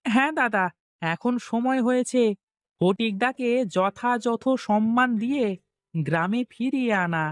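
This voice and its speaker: A woman's voice: on the high side, measured pace, no room sound, lightly acted. Woman voicing an eager young cartoon villager, dry sound. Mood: excited. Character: younger village farmer, eager, respectful, hopeful. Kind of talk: Bengali cartoon story dialogue.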